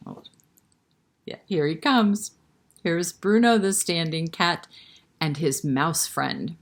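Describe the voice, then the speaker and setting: Woman, children's storytime host, lowish voice, very unhurried, talking straight to little listeners. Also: lively intonation. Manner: speaks directly to young children, asking questions. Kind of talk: children's picture-book read-aloud and chat.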